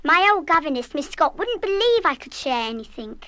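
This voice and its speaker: A woman's voice: sits high, very animated, moderate pace, fairly bright tone, no room sound. An actress playing a headstrong, mischievous English schoolgirl in a radio play, plucky and well-spoken. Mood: cheerful. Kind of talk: full-cast children's radio play acting.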